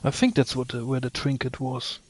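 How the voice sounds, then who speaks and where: A man's voice: medium pitch, level tone, a little fast, a little hiss or hum. A man doing casual, unscripted gameplay commentary, muttering and thinking aloud to himself.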